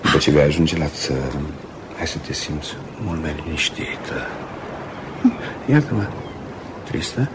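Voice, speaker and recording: An actor playing a brooding doctor in love in a Romanian radio play, with a halting, emotional delivery. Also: male voice, rumbling low, expressive, noisy background. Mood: tender, sorrowful. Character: brooding doctor in love, tender yet curt and bitter. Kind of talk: Romanian radio theatre acting.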